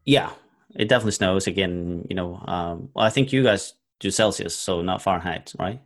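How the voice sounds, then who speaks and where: A man's voice: sits low, brisk. A man. He hosts a podcast, chatting casually as he interviews a guest. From Norway.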